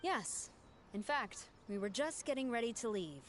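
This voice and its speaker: A woman voicing a composed, authoritative female officer, formal and measured, in an anime game. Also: fairly high pitch, animated, slight background noise, natural voice. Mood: composed, official.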